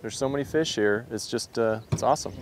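A man's voice: medium pitch, a little fast, noisy background. A middle-aged man chatting casually and enthusiastically while fishing from a drift boat outdoors. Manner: enthusiastic, exclaiming. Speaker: fishing guide and host. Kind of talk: casual on-camera chat.

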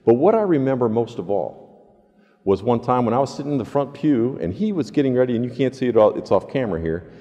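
A man in his sixties preaching a reflective, conversational sermon as a pastor, earnest and deliberate with emphatic pauses. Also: mid-range voice, animated, warm tone. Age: 60s. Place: large empty church sanctuary, indoors. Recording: reverberant church hall, slightly muffled.

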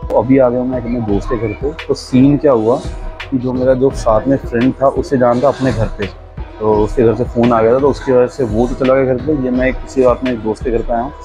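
A man's voice: medium pitch, steady tone, a little fast. A young man from India talking casually to the camera as he vlogs, with music behind him. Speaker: vlogger. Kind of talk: casual vlog chat. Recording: dull lo-fi camera audio.

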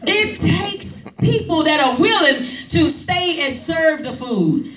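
A woman's voice: extremely high pitch, animated, slow pace, a bit bright, a little hiss or hum. An older woman pastor preaching a sermon, with sing-song rises and chatty asides, filmed from a distance with the congregation calling back. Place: small church sanctuary, preaching at a clear pulpit on a low stage. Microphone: handheld mic, filmed by a far camera. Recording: roomy church sound from a distance with congregation.

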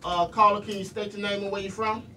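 Middle-aged man, casual radio host, earnest and repetitive, slightly high voice, distant mic. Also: level tone, quick pace. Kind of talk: casual live radio talk with shout-outs. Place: small radio studio, indoors. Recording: noisy, distant room microphone.

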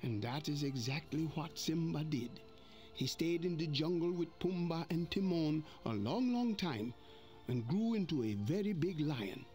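A man narrating a storybook read-along in an unhurried, expressive way. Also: a little high, faint music behind.

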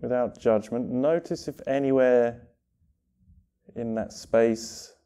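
A man leading a guided meditation with gentle instruction, speaking in unhurried phrases separated by long pauses. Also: mid-range voice, fairly even intonation, smooth.

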